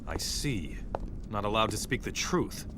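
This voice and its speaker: An actor playing a stern young warrior bent on vengeance in a video game, speaking in formal, measured, terse phrases. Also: male voice, on the low side, expressive, background noise. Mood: stern, guarded. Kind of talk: dramatic game cutscene acting, archaic formal dialogue.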